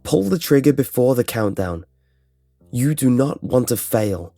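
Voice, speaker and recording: A man voicing a menacing female computer game announcer in a story, giving measured, impersonal commands. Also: mid-range voice, expressive, creaky, dark tone, no room sound, studio quality, faint music behind. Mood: commanding. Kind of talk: horror audiobook character dialogue.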